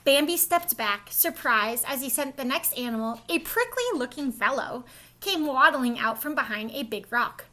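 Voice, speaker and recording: A woman reading a children's storybook aloud in an expressive way, as if explaining it to young listeners. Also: high-pitched, moderate pace. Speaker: storybook reader, school staff.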